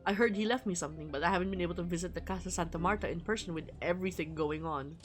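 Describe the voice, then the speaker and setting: A woman's voice: lowish voice, rapid-fire, soft background music, natural voice. A woman reading aloud a genial male bishop from a visual novel, with polite, formal friendliness. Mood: friendly, polite. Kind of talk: reading game dialogue aloud.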